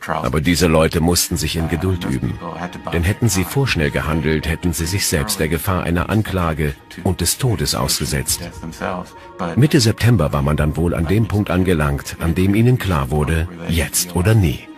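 A man's voice: extremely low pitch, normal speed, music in the background. A man giving explanatory historical commentary as an expert in a documentary.

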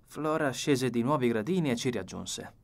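A man's voice: medium pitch, expressive, moderate pace, creaky. A man narrating a first-person novel as an audiobook, reading from a script.

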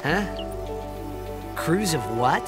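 An actor playing a brash, upbeat teenage athlete in a fantasy video game, with a boyish, earnest delivery. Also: male voice, on the high side, lively intonation, extremely slow, loud music behind the voice. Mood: curious, confident. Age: young adult. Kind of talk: video game voice acting, with reflective narration.

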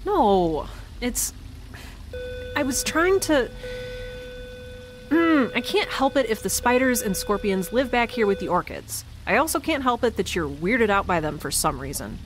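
A woman's voice: on the high side, big pitch swings, a little slow. A woman playing a chatty, quirky, anxiously upbeat space dweller in an audio drama, rambling wryly and naturally. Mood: upbeat, defensive. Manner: rambling, wry, self-reassuring. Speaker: lead character. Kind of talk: naturalistic audio drama acting, talking to herself.

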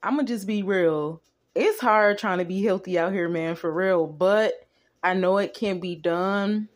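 A woman's voice: medium pitch, unhurried. A woman talking casually and colloquially in a home food vlog, filming her dinner on a phone at a kitchen counter. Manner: chatty, down-to-earth, colloquial. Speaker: vlogger.

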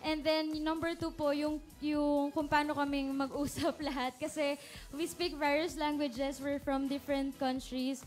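Polite young Filipino woman, high voice. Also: level tone, moderate pace, faint music behind. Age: young adult. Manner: polite, earnest, laughs easily. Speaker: pop group member guest. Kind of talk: TV interview answer. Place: TV studio set. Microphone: handheld.

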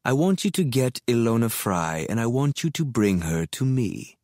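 A woman voicing a smooth-voiced, menacing male kidnapper in an audiobook, purring and icy. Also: rumbling low, sing-song, darkish tone, dry recording, studio quality, pitched below the performer's own voice. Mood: icy menace. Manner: icy, slow and threatening. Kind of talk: audiobook character voice.

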